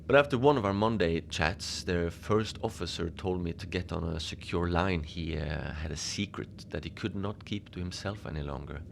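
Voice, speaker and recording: Casting: male actor as unbothered lone polar station keeper, deep-voiced with gravelly fry, deadpan musings for a fiction podcast radio log. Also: normal speed, hardly any pauses, a little hiss or hum. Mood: deadpan, confiding. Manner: musing, conversational, deadpan about absurd things. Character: easygoing, whimsical lone Antarctic station manager, rambling unbothered. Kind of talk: first-person audio fiction monologue, rambling radio log.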